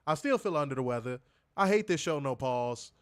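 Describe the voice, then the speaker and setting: A man's voice: mid-range voice, animated, a little fast, a bit bright. A man co-hosting a wrestling review show, ranting bluntly and profanely while stuffed up with a cold. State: congested, stuffed up. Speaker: podcast co-host.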